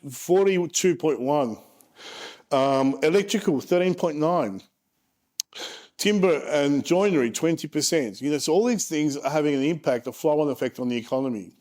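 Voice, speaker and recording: A man in his forties with a slightly raised pitch, talking frankly and casually through charts. He drops joking asides as he explains the numbers to investors. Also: a little mellow. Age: 40s. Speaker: property investment presenter. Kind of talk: casual presentation over charts.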